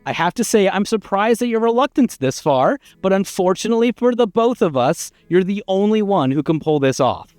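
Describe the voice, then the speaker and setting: A man playing a high-pitched, pushy storybook narrator. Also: lively intonation, brisk, darkish tone, no echo, faint music behind. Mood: exasperated. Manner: theatrical, insistent, wordy. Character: pushy, exasperated, wordy storybook narrator urging a hero onward.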